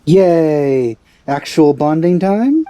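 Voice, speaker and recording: A man's voice: on the high side, animated, drawn-out words, dark tone. A man hosting a let's play, giving casual, informal reactions and musing aloud as he goes. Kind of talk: casual gameplay commentary.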